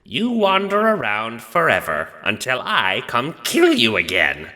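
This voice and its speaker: A man voicing a huge skeletal Death in an audio drama, gruff and growling, bored, blunt and crude. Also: mid-range voice, wildly swooping pitch, a little slow, sharp tone, in a large hall, pitched above the performer's own voice, full character voice. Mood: gruff, dismissive. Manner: blunt, dismissive, swearing. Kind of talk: audio drama character acting.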